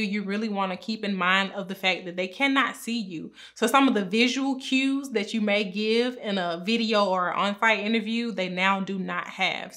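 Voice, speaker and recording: A woman in her thirties with a bright, crisp voice talks straight to the camera from a small room at home. She sounds upbeat and instructive, explaining tips clearly and gesturing as she goes. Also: medium pitch. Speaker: pharmacist giving career advice. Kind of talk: direct-to-camera advice talk. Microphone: camera framed at chest height.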